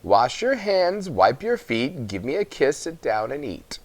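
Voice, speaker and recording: Man reading as a frail old grandmother, homely and gently brisk. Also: medium pitch, sing-song. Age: older. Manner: plain homely sayings and rhymes.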